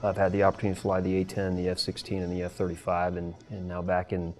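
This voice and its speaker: A middle-aged man, a military commander, speaking earnestly and haltingly in a documentary interview. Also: low-pitched, completely flat delivery, a little mellow, soft background music. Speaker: air force wing commander and fighter pilot.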